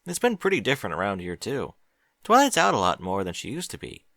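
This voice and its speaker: A man's voice: fairly low pitch, huge pitch swings, fast, a bit bright, no room sound, studio quality, full character voice. A man voicing a sensible young boy dragon who works in a library, matter-of-fact.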